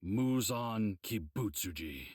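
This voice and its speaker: An actor playing a grave old mentor of demon slayers, explaining things in a measured, solemn way for a video game. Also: male voice, lowish voice, lively intonation, a little mellow, slightly rough, dry recording, studio quality, full character voice. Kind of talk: English game dub, measured explanatory dialogue.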